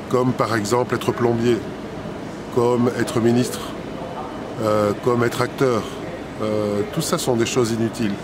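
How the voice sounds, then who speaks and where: A man in his fifties, a poet and publisher, answering interview questions thoughtfully with many hesitations and restarts. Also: mid-range voice. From Italy and Belgium. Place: city square outdoors, traffic nearby. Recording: outdoor street noise.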